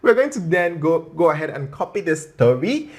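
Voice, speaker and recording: A tutorial host talking viewers through steps on screen in a chatty, playful way, often checking in with a quick okay or right. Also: medium pitch, very animated. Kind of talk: screen-share tutorial narration.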